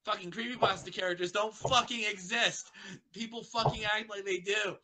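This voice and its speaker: A man's voice: high-pitched, steady tone, normal speed, noisy background. A man chatting casually as a co-reader, crude and sweary, drifting off on tangents. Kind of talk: casual bantering chat.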